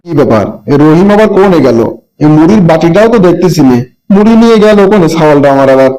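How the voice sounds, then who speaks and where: A man's voice: a little high, expressive, fast, dark tone, natural voice. A man voicing a poor old village father in a cartoon, plaintive and colloquial, with a rural Bangladeshi accent. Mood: weary. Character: poor old village father, weary, scolding but loving. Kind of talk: amateur cartoon voice acting.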